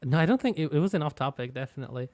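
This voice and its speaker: A man hosting a casual company live stream, chatty and relaxed, laughing easily. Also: on the high side, very fast, no echo, studio quality.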